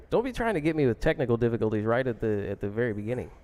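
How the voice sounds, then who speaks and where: A man's voice: mid-range voice, very animated, fast, darkish tone. A man hosting a casual boxing podcast, introducing guests and teasing his co-host in friendly banter.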